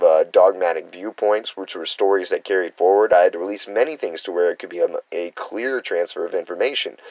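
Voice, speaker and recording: A man's voice: low voice, a little mellow, no echo, dull audio. An American man giving a rambling, earnest spiritual teaching, now and then acting out quoted reactions. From United States. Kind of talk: unscripted spiritual teaching monologue.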